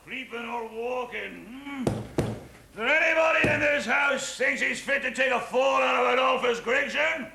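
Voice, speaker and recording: An actor playing a drunken, boastful Irish lodger who brags, laughs and shouts in an Irish accent. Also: male voice, fairly high pitch, lively intonation, measured pace, gravelly, background noise. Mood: drunken bluster.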